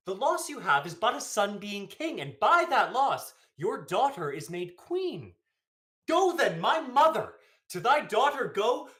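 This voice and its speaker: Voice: mid-range voice, a bit bright. A young actor playing a scheming, proud and persuasive usurper king in a Shakespearean radio play, in emphatic formal verse. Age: young adult. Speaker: student actor.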